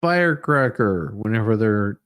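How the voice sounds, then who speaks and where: A man giving casual, off-the-cuff commentary as a streamer, in a halting way that often trails off. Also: fairly low pitch, expressive, fairly bright tone, clean tone. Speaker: live streamer reacting to police footage.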